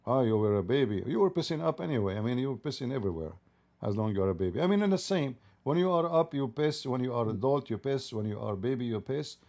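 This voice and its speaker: A middle-aged man with a dark, mellow voice. He needles and mocks, firing repeated pointed questions in a sarcastic livestream debate. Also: medium pitch, expressive, quick pace. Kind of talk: mocking, provocative debate talk.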